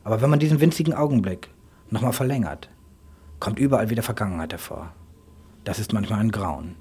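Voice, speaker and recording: A man speaking reflective spoken-word radio prose in halting fragments, with a husky voice. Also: on the low side, animated, warm tone.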